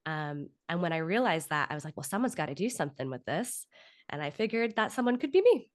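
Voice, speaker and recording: A woman in her thirties with a low-pitched voice talks as a guest in an interview. She is articulate and reflective, laying out her thoughts at length in a conversational way. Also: big pitch swings, fast talker. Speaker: interview guest, author and actor.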